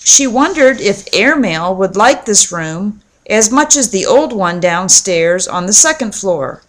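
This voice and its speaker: A woman narrating a children's storybook aloud in a measured, clearly phrased read-aloud delivery. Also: mid-range voice, expressive. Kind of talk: storybook narration with acted dialogue.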